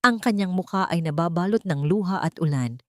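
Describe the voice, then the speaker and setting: A woman's voice: on the low side, fairly quick, no room sound, studio quality. A woman with a Filipino accent narrating a scripted dramatic story. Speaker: narrator of audio love stories.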